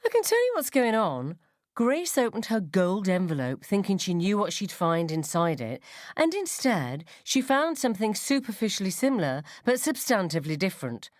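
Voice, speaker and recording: A woman's voice: medium pitch, wildly swooping pitch, moderate pace, no echo, studio quality. A woman voicing a sly, unworried school mum with a knowing, teasing way of talking in a radio story. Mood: smug, knowing.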